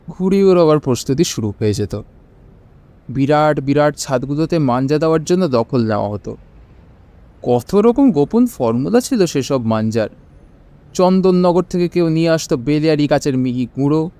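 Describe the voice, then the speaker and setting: Radio drama: older male local, nostalgic, wistful storyteller, fairly high but mellow man acting. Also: close to an everyday voice. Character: Abinashbabu, nostalgic older neighbourhood gentleman reminiscing.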